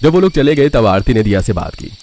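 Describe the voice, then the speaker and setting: A male narrator with a mid-pitched voice tells a scripted cartoon family story. Some light noise sits behind the voice. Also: very animated, fast talker, darkish tone.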